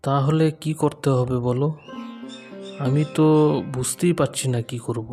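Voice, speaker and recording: A man's voice: mid-range voice, steady tone, a little slow, mellow, faint music behind, lightly acted. A man playing a greedy, dim village husband in a cartoon, puzzled and eager in his manner. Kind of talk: animated story dialogue.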